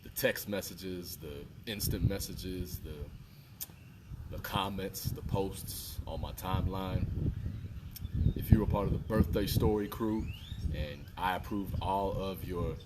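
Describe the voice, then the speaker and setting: A deep-voiced man in his thirties records an earnest fitness pep talk outdoors by a fountain. He sits back from the mic, and a clear hiss of outdoor sound runs under his voice. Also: slow, far from the mic. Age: 30s. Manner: earnest, repetitive, preachy motivational talk. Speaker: fitness vlogger. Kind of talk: casual motivational talk to camera.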